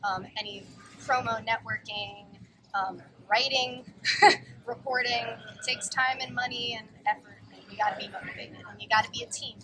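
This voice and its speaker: A woman's voice: fairly high pitch, steady tone, moderate pace, background noise. A woman in a band giving earnest answers during an outdoor festival interview, with frequent fillers. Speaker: band member. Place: outdoor music festival grounds.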